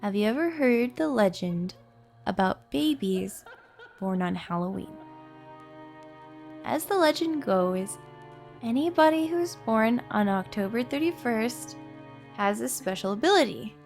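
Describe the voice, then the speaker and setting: A high-pitched young woman, played by a voice actress in an intimate audio roleplay. She is earnest and nervous, speaking slowly and hesitantly with a creaky edge, pleading to be believed. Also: lively intonation, a little mellow, faint music behind. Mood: hushed, confessional. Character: nervous, earnest young woman introducing her ghost family to partner.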